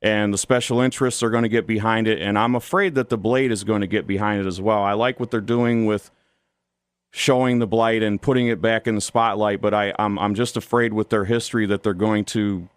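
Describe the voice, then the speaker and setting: Smooth, lowish-voiced American man. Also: steady tone, a little fast, no room sound. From Toledo, Ohio, United States. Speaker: studio interview guest, YouTube commentator. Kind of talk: opinionated political radio interview. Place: radio studio.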